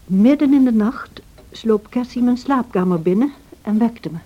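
A woman playing a sensible, motherly housekeeper who recounts events in an old radio play, measured and gently admonishing. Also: fairly high pitch, animated, lo-fi sound. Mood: recounting.

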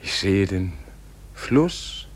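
An actor playing a frail, weary and bewildered old man in a radio play, speaking in halting, broken phrases. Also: deep voice, very animated, a little hiss or hum, full character voice. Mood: awed, searching. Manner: slow, halting delivery in short broken phrases. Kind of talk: German radio play acting.

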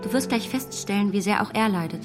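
An actress playing a devoted, earnest young gentlewoman in a period radio play, speaking standard German. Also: mid-range voice, quick pace, over loud music, close to an everyday voice. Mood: gently urging. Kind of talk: period radio drama acting.

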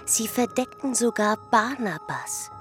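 An actress playing a brooding inner voice in a radio play, murmuring thoughts in halting phrases. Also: female voice, on the high side, expressive. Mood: brooding, intent. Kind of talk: German radio play acting. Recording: prominent music behind the voice.

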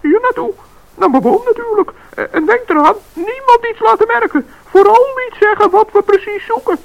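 A kind-hearted little forest gnome in an old radio play, speaks in a very high, gravelly squeak. The recording is dull and lo-fi. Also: male voice, normal speed. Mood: earnest.

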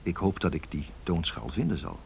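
A deep-voiced man narrating a radio drama. He muses nostalgically and pauses as if thinking aloud. The recording carries faint noise and clicks. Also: big pitch swings, vocal fry, a little mellow, exaggerated voice. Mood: wistful, reflective. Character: musing, nostalgic male narrator looking back on the 1930s.

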